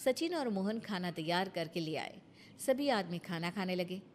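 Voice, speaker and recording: A woman's voice: lowish voice, expressive, moderate pace, a little hiss or hum. A woman reading scripted story narration as a storyteller.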